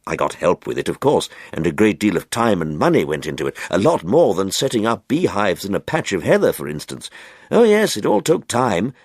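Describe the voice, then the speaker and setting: A man's voice: lowish voice, very animated, brisk, slightly rough, dry recording, clean studio sound, heavily acted. A man reading aloud as a rapturous, earnest, proud older amateur stargazer in an audiobook story, lingering emphatically on his words. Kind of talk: audiobook character dialogue.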